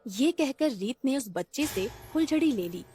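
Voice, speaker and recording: High-voiced woman narrating a cartoon story. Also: lively intonation, normal speed, mellow, a little hiss or hum. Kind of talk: scripted children's cartoon narration.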